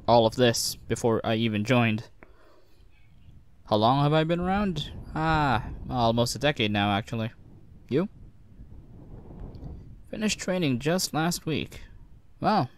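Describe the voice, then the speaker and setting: A man's voice: medium pitch, natural voice. Man playing an easygoing, cocky super spy. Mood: relaxed, chatty. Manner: casual, wry, joking, hesitant asides. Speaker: voice actor in an audio roleplay. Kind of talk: conversational one-sided audio roleplay acting.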